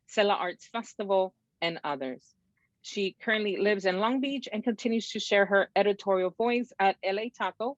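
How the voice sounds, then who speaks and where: A woman's voice: medium pitch, no room sound. A woman with a Salvadoran accent hosts an online poetry reading over a video call. The audio is dry and a little dull and lo-fi. She talks casually, mixing Spanish phrases into her introduction. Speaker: event host. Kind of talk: introducing a performer.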